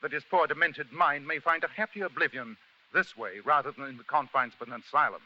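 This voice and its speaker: An actor playing a shrewd, commanding English gentleman detective, crisp and theatrical, with a British accent in an old radio mystery. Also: a little high, fairly quick, close to an everyday voice. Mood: grave. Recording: muffled old radio broadcast, music underneath.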